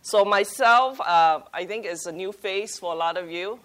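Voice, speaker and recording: A woman born in China, a campus ministry leader, introducing a workshop panel in a halting, upbeat way with many fillers. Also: medium pitch, fairly bright tone.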